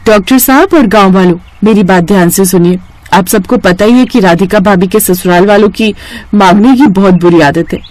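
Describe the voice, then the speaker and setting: A woman's voice: mid-range voice, moderate pace, darkish tone, no echo, natural voice. A woman voicing a friendly, earnest and outspoken young village woman in a moral story.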